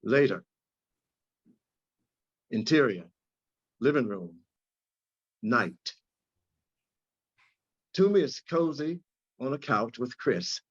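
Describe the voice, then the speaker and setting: A man's voice: mid-range voice, animated, slow pace, long pauses, slightly rough, muffled recording. A man reading out screenplay stage directions as scripted narration.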